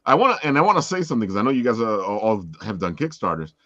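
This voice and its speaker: A man's voice: fairly low pitch, big pitch swings, very fast, a bit bright, no room sound. A man hosting a casual livestream chat in a rambling, informal way, prone to giggling, over slightly dull lo-fi call audio. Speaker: host. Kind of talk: casual livestream chat promoting crowdfunding projects.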